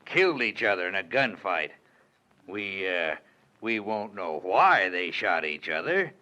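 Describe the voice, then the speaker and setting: Cocky western bad guy, taunting, bright voice swooping around, old muffled radio. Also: low-pitched, big pitch swings, unhurried, exaggerated voice. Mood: gloating. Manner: mocking, taunting. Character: sneering ruthless outlaw boss, man. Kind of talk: 1940s radio acting.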